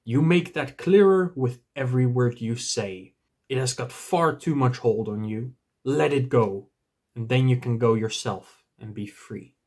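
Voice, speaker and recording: A man voicing a stern, grave old wizard with a commanding, deliberate manner in a storybook reading. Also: medium pitch, expressive, darkish tone, husky.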